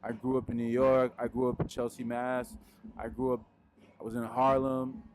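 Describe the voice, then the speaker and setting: A young man from Peru, a musician answering interview questions in a relaxed, rambling way with lots of fillers, speaking into a handheld mic indoors. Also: mid-range voice, normal speed, a little hiss or hum. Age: young adult. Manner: laid-back, rambling, frequent fillers. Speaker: musician guest. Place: indoors in front of a wooden wall.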